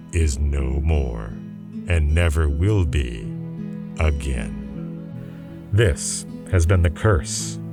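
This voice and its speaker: Very deep, slow male narrator. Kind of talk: scripted radio drama narration. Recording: loud guitar music underneath.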